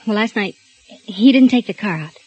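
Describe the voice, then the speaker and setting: An actress playing a nervous, guilt-ridden housewife, narrating in 1940s radio drama style with a tense, fearful delivery. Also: female voice, mid-range voice, lively intonation, normal speed, muffled recording, lightly acted. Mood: anxious. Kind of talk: 1940s radio acting with first-person narration. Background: music underscore.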